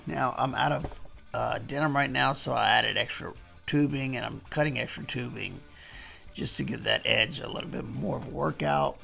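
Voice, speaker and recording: A man hoarse from losing his voice, casually talking through a knife review while he demonstrates cuts. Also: mid-range voice, moderate pace, soft background music. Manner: relaxed, folksy, talks while demonstrating cuts. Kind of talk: casual hands-on product review.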